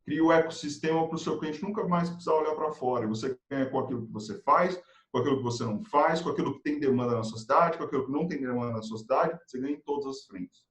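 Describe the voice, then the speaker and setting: Energetic Brazilian man, echoey room. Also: a little high. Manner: energetic, motivational, talks in quick lists. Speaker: guest consultant and speaker. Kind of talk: live video-call chat. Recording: roomy, echoey room sound.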